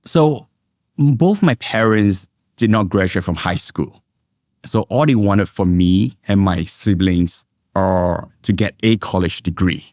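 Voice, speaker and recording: A middle-aged man from Indonesia and Singapore, an investment analyst, giving measured, reflective answers as an interview guest. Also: sits low, darkish tone, no room sound, studio quality.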